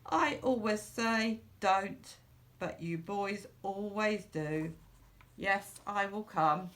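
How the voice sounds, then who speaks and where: A woman voicing a resigned, chiding man in a storybook, speaking in a measured, knowing way. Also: medium pitch, fairly bright tone.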